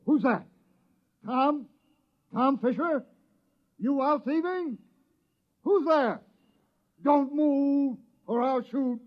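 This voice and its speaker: An actor playing an earnest, excitable village night watchman in an old radio drama. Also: very high voice, extremely slow, long pauses, full character voice. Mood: alarmed, eager. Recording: dull vintage radio recording.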